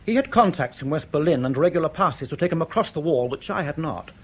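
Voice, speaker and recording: A man's voice: on the high side, animated, natural voice. An actor in a radio drama playing an anxious, proud East German official in his thirties who has defected, speaking formally and carefully. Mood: earnest, grave. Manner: formal, careful, precise diction with hesitant pauses.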